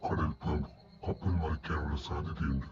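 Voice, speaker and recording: A man's voice: a bass voice, level tone, normal speed, lo-fi sound, loud music behind the voice. A man playing an authoritative leader of a sea-serpent hunting team in a cartoon, giving orders. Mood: urgent, commanding. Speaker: voice actor in an animated adventure. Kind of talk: Malayalam cartoon dialogue.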